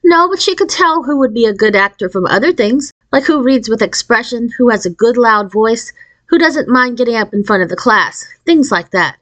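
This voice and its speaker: A woman's voice: fairly high pitch, animated, normal speed, fairly bright tone, voice pushed up, poor audio. A woman voicing an eager, self-assured fifth-grade schoolgirl in an emphatic, confident manner for a storybook.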